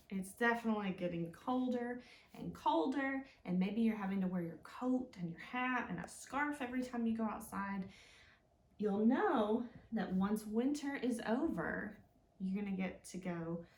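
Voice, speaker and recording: A woman leading a children's storytime as a librarian, reading aloud expressively and talking gently and simply to young listeners. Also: medium pitch. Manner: gentle, simple, encouraging talk aimed at small children. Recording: roomy, echoing indoor sound.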